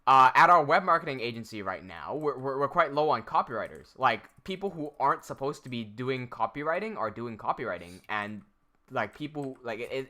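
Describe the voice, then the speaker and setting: A young man from Hong Kong spins a rambling anecdote for his stream chat, stumbling through restarts and acting out both sides of the conversation. His pitch swings a lot, and his tone is bright and forward. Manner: rambling, with many restarts and quoted dialogue. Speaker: streamer telling a story. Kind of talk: casual storytelling to live chat.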